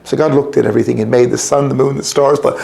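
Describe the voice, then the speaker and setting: A man's voice: medium pitch, steady tone, fast, vocal fry, dark tone. A man reading a story aloud to children in a theatrical, playful, emphatic way, inviting them to join in.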